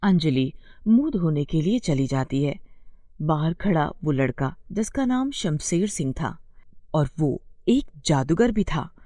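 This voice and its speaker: A woman's voice: sits low, dark tone, dry recording, studio quality. A woman storyteller narrating a scripted bedtime moral story.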